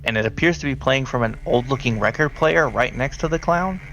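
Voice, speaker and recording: A man's voice: medium pitch, a little fast, creaky, fairly bright tone, dull audio. A man improvising narration as a tabletop game master, talking conversationally and directly to his players.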